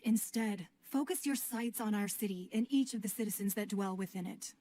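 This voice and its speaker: A woman voicing a dignified, calmly authoritative leader in a video game, formal and diplomatic. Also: medium pitch, a little hiss or hum, close to an everyday voice. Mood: firm, diplomatic. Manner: formal, measured diplomatic phrasing. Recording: game music under the voice.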